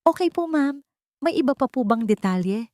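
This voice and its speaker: A woman doing an eager young assistant, high and polite. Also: animated, normal speed, a little mellow, no room sound, clean studio sound.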